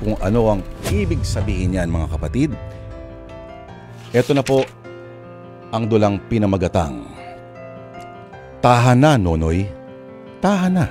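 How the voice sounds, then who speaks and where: A man with a Filipino accent hosting and narrating a radio drama, phrasing deliberately with dramatic pauses. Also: lowish voice, very animated, darkish tone. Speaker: host and narrator. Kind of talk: dramatic radio storytelling introduction. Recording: music under the voice.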